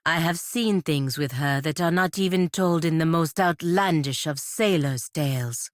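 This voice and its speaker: An actress voicing a proud, stern, dutiful woman knight and envoy in a video game, speaking in a formal, measured, dry manner. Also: low voice, no echo. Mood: courteous, reserved. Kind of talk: video game character dialogue.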